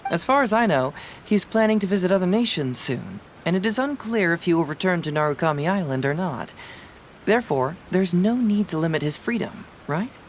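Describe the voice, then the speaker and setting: Cocky young detective. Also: male voice, sits high, a little hiss or hum. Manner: playful, self-assured, laughs lightly. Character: young sharp-witted male detective, teasing. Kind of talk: game voice acting.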